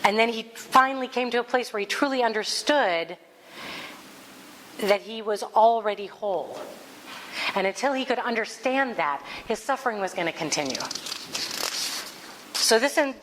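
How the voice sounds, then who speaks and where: A woman with a middle-pitched voice delivers an earnest, heartfelt spiritual talk to a gathered congregation. Her words carry long reverb through a large hall. A faint hum of room noise sits underneath. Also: animated, moderate pace. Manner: earnest, heartfelt, reads quotes aloud. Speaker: minister giving a talk.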